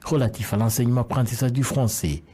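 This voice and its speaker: A man reading a scripted French-language news report as a reporter, in a formal, measured way. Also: mid-range voice, mellow, slight background noise.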